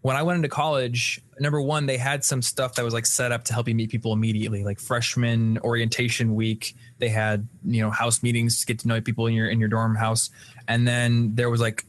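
Relaxed young American-style host. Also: male voice, medium pitch, a little fast. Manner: relaxed, candid, casual swearing. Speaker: podcast host. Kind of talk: casual live-stream chat.

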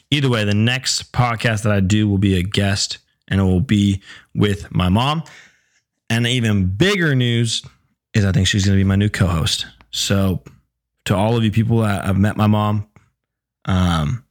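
A young man hosting his own podcast in a casual, chatty way, with a sore, hoarse voice that is giving out. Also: lowish voice. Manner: laid-back, chatty, swears casually. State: sore throat, losing voice. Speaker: podcast host. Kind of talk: casual solo podcast chat.

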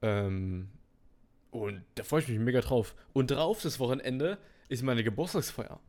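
A man's voice: mid-range voice, measured pace, a little mellow. A nineteen-year-old man co-hosting a podcast, chatting casually in laid-back slangy talk. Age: turning 19. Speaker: podcast co-host. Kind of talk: casual chat.

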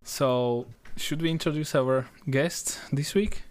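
Male podcast co-host, casual, hesitant, mid-pitched voice. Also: slow pace, smooth. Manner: hesitant, many fillers and restarts.

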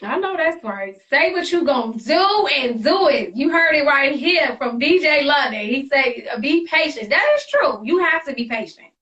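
A woman's voice: sits high, animated, moderate pace, few pauses, pushed and bright, lo-fi sound. A woman hosting a casual, hyped-up interview, with a bubbly, slangy, reactive way of talking. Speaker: host interviewer. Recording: distant mic with music behind.

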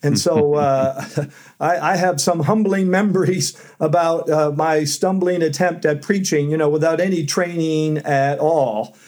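A middle-aged man, a seminary professor, telling stories as a podcast guest in a loud voice with fillers. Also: a little high, fairly even intonation, a little slow. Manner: loud voice, storytelling with fillers and light laughs. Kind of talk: conversational interview answers.

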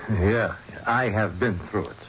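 An actor playing a sceptical, exasperated police investigator in a 1970s radio drama, sardonic and prone to stammer when flustered. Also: male voice, on the low side, takes it slowly, a bit bright. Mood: weary, sceptical. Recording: dull, noisy old radio broadcast recording.

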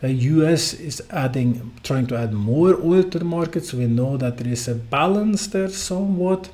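A man from Norway with a Norwegian accent, hosting a solo podcast of financial market commentary in halting, filler-heavy phrasing. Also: fairly high pitch, animated, warm tone. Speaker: podcast host.

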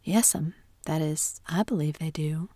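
A woman's voice: low voice, a little slow, warm tone, dry recording. A woman whispering the lines of a mischievous young boy in a storybook reading. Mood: sly.

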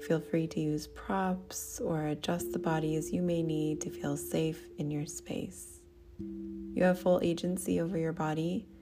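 A woman speaking as a meditation teacher, gently guiding a practice in a soothing, unhurried way. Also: on the low side, gravelly. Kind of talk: guided meditation.

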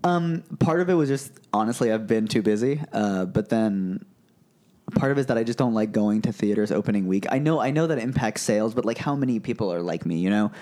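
A man's voice: fairly low pitch, animated, fairly quick, dark tone. A young man, a comedian guest on a podcast, telling stories in a loose, chatty way full of fillers and restarts. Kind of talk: casual podcast chat.

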